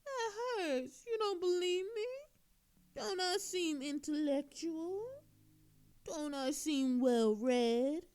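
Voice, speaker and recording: A woman's voice: high-pitched, slow, a little mellow. A woman playing an obnoxiously flirtatious, cocky thief in a teasing, theatrical audio roleplay with a sultry drawl. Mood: playful, mock hurt.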